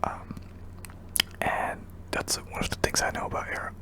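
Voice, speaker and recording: A man's voice: normal speed, pushed and bright. Hesitant whispering young man. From Indonesia. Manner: unvoiced whisper, hesitant with many ums. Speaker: ASMR channel host. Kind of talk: whispered ASMR Q&A.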